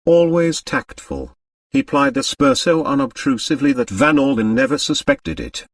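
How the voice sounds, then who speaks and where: A man narrates literary fiction with very animated, varied intonation. The recording is dry, with the voice sitting slightly back from the microphone. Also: a little high, moderate pace, vocal fry, no room sound, distant mic. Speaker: audiobook narrator.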